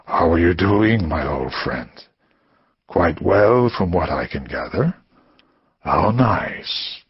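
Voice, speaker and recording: A man voicing a menacing male caller who speaks low and breathy, drawing out each syllable in a condescending way. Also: expressive, no room sound, dull audio, full character voice. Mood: taunting. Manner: condescending, slow and whispery.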